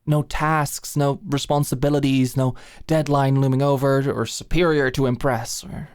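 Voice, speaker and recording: A woman's voice: extremely low pitch, fairly even intonation, moderate pace, darkish tone, no room sound. A close, dry recording of a woman acting as a doting, dominant girlfriend in an ASMR roleplay. She fusses and coaxes with eager affection, speaking intimately like an ex-manager who now runs the home. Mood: sheepish, confessing. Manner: affectionate, fussing, coaxing.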